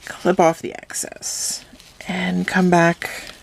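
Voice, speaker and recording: A woman talks through a craft tutorial at an unhurried, deliberate pace. Her voice sits a little low and sounds slightly hoarse from a cold, and she explains each step calmly. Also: noisy background. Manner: slow, calm, instructive.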